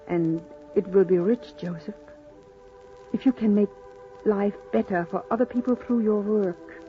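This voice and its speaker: An actress playing a devoted, earnest immigrant mother, solemn and formal, in 1940s radio drama style. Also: on the low side. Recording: muffled 1940s radio recording.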